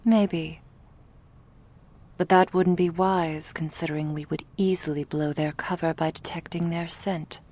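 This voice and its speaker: A woman playing a cool, menacing female vampire crime boss in an ASMR roleplay, speaking in a measured, authoritative way. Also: fairly low pitch, steady tone, darkish tone. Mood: coolly suspicious.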